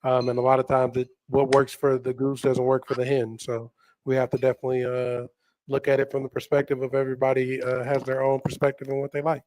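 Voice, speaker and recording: An American man co-hosting a call-in stream, relaxed and affable, calling guests brother and sis. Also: medium pitch, quick pace, a little hiss or hum, poor audio. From United States. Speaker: livestream co-host. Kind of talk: casual call-in moderation.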